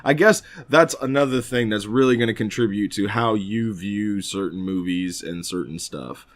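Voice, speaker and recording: Male co-host, lowish voice. Informal, meandering, slangy fan talk with profanity and detailed opinions. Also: normal speed. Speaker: podcast co-host. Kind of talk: casual chat about films and games.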